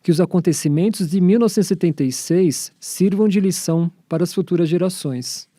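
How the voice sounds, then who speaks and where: A Brazilian man presents a scripted radio show. His voice is fairly high yet very dark and mellow, in a dry recording. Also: brisk, no echo. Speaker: programme host.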